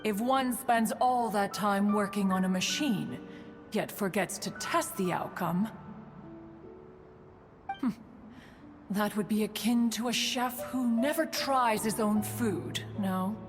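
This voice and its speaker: An aloof, proud immortal woman sage from a fantasy game, played by an actress. She lectures slowly and formally in a mid-pitched voice, wrapped in long reverb. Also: over music, close to an everyday voice. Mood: stately, instructive. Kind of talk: video game voice acting.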